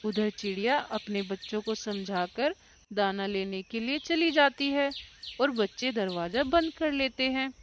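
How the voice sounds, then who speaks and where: A woman narrates a children's story at a slow, measured pace. Her voice sits fairly high, with faint nature noise behind it.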